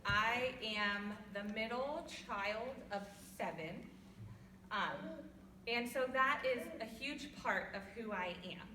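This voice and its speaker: A young woman from California with a loud voice, giving a personal talk to an audience in a church hall without a microphone. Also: on the high side, takes it slowly, a little hiss or hum. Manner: loud, laughing, self-deprecating. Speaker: guest speaker. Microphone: no microphone, far from recorder. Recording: echoey hall from far off.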